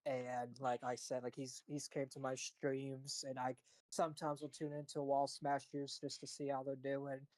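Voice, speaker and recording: Male stream host, rambling, swears freely, filler-heavy, mid-pitched, dry sound. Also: monotone, moderate pace, darkish tone, no echo, low-quality recording. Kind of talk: casual live-stream chat.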